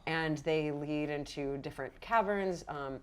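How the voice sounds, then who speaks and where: A woman improvising narration as a game master in a casual, animated, rambling way. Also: low-pitched, unhurried, clear, resonant tone. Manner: animated, rambling, acts out characters' lines.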